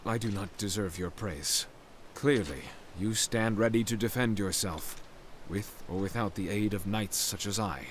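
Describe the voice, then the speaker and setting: A man's voice: fairly low pitch, measured pace, slight background noise, close to an everyday voice. A man playing a proud, dutiful knight captain in a video game, speaking in a grave and formal manner. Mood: formal, restrained. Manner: formal, grave, deferential yet reproachful. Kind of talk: dramatic video game cutscene acting. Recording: music behind the voice.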